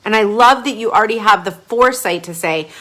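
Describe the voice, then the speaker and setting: A woman brand coach leading a live group coaching session. She talks casually and chattily, with sudden gushing bursts of excitement. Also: mid-range voice, brisk. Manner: casual, chatty, with frequent fillers.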